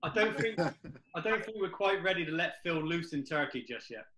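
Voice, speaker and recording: A man's voice: fairly high pitch, lively intonation, normal speed, bright, forceful tone, echoey room, a little hiss or hum. A man co-hosting a casual chat, teasing and laughing along. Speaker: podcast co-host.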